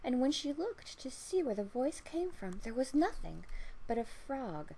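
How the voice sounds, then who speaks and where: A woman narrates a scripted fairy tale. She reads slowly and expressively, in a measured storyteller's manner. There is faint background noise on the recording. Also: high-pitched, sing-song, warm tone, low-quality recording.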